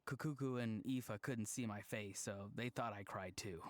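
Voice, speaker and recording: A man voicing a calm, deadpan young man in a game, matter-of-fact in delivery. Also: fairly low pitch, normal speed, no echo, lightly acted. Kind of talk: game voice acting. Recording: game audio with music behind.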